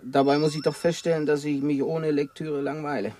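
Ein Schauspieler spielt in einem deutschen Hörspiel einen gelangweilten, verkrüppelten Ex-Raufbold, der zum Leser wurde, schlicht und neckend im Ton. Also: male voice, on the high side, level tone, a little fast, warm tone, lightly acted. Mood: bored, grumbling. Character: crippled former brawler turned bookish, bored, teasing survivor. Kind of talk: German radio play acting. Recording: radio play with clear background noise.

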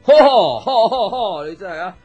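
Music plays faintly under a playful young friend in a radio drama, speaking Hong Kong Cantonese in a rather high voice. He teases and jokes in an animated, conversational way, with a caring streak. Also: normal speed, husky. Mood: playful, mischievous. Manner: teasing, joking, animated with exclamations.

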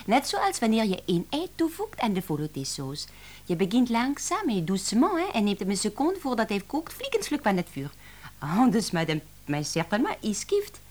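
A woman's voice: medium pitch, sing-song, normal speed, a little mellow. A woman acting an effusive young French cook in a Dutch radio play, with a French accent and French phrases sprinkled through her talk. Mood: coaxing, chatty. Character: excitable young Frenchwoman, devoted office cook and assistant.

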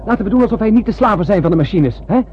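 A man's voice: high voice, lively intonation, racing. An actor playing an eager, impulsive young spaceman in a radio play, playful and wide-eyed. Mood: eager, pleading. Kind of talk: radio drama acting. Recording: dull, noisy old radio recording.

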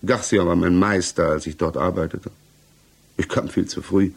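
A troubled suspect being questioned in an old radio crime drama. His voice is low and breathy-rough. He justifies himself haltingly and stays on the defensive. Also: steady tone, exaggerated voice. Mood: uneasy, defensive. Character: defensive, troubled male suspect under interrogation. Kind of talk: German 1960s radio play acting.

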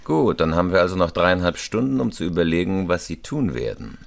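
Male crime-drama robber, in command and coolly polite, deep and expressive. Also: brisk, creaky, exaggerated voice. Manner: controlled, polite but threatening. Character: calm, menacing escaped robber in command. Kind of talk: German radio crime drama acting.

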